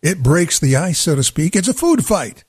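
An older man hosting a radio show, chatting in a dry, wry, meandering way. His voice is slightly husky and his pitch swings widely. The studio sound is close and dry. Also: very animated, moderate pace, a little mellow, no room sound. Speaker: radio host. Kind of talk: casual radio banter. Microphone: studio mic.

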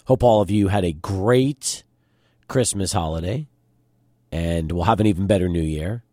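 A man hosting a studio sports talk show, his voice a little hoarse and deeper than usual, chatting and asking questions. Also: moderate pace, no room sound. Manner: conversational, lively questioning. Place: radio studio.

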